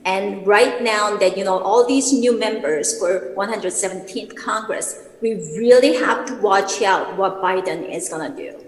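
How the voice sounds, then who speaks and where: A woman's voice: mid-range voice, a little slow, hardly any pauses. A woman from South Korea with a Korean accent, a congresswoman answering bluntly on a panel. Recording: echoey hall with long reverb.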